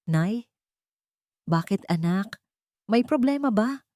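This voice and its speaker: A Filipino-accented woman playing a gentle older mother-in-law, caring and worried, in a narrated story. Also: mid-range voice, animated, slow, dry recording, studio quality, voice pushed up. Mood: gentle concern. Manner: soft, caring questioning.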